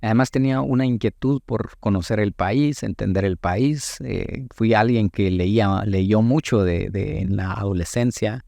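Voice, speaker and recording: A Guatemalan man in his late thirties giving thoughtful, halting answers as a politician being interviewed, with formal wording. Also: fairly low pitch, gravelly, warm tone, no room sound. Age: late 30s. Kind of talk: reflective interview talk.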